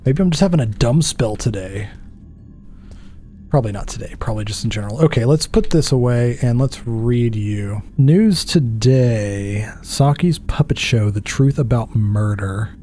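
Man in his thirties, headset mic, mellow voice, muttering and puzzled, self-deprecating game talk. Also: mid-range voice, lively intonation, normal speed, soft background music. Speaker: gaming streamer. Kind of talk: casual let's-play commentary.

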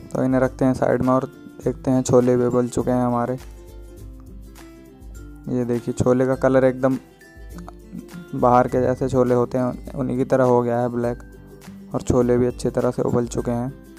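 Man with very flat pitch, cooking tutorial. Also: moderate pace, pauses often, faint music behind. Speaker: home cook presenting a recipe. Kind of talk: step-by-step cooking tutorial voice-over.

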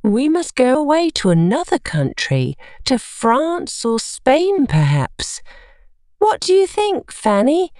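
A woman's voice: sits high, wildly swooping pitch, slow pace, darkish tone, no echo, clean studio sound, voice pushed up, full character voice. A woman voicing a troubled, secretive lord and husband in a story, stern and commanding. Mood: decisive.

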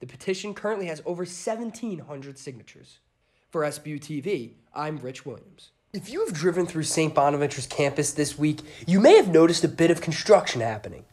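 A man's voice: fairly high pitch, expressive, a little fast, darkish tone. A young adult man with an American accent reading scripted narration as a student TV news reporter.

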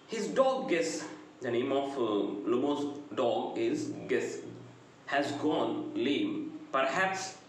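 A middle-aged man with a South Indian accent giving a lecture, retelling a story deliberately with pauses between phrases. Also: medium pitch, animated. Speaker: English lecturer. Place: small bright indoor room. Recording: very echoey room, faint background noise.

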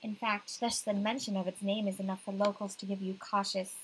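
A woman's voice: medium pitch, level tone, a little fast, a little hiss or hum, low-quality recording. A young woman reading visual novel narration aloud. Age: young adult. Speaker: game streamer reading aloud.

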